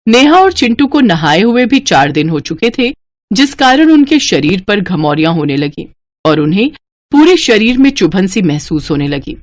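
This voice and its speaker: A woman's voice: mid-range voice, big pitch swings, normal speed, dry recording. Woman narrating a story, dry close sound.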